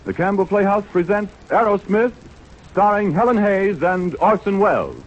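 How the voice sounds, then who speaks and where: A man's voice: high-pitched, normal speed. A man with an American accent announcing and advertising in polished, emphatic 1930s radio style. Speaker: radio announcer and sponsor's pitchman. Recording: dull vintage 1930s radio recording.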